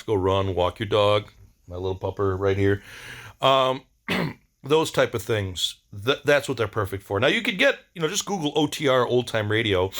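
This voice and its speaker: A middle-aged man talking casually in a rambling video diary, halting and slangy, with laid-back enthusiasm. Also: mid-range voice, fairly bright tone. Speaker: vlogger.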